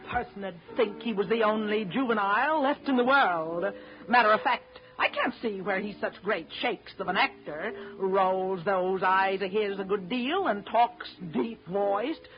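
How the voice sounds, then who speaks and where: A woman's voice: medium pitch, animated, measured pace, natural voice. An actress with an American accent playing a sour, suspicious, bossy middle-aged mother in a 1930s radio drama, scolding in folksy, plain-spoken fashion. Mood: scornful, nagging. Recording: dull vintage 1930s radio broadcast.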